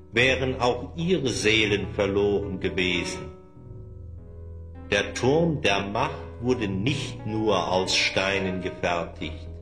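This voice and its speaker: A man playing a kindly, wise old wizard who speaks in a measured, solemn, instructive way in a fantasy audio drama. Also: mid-range voice.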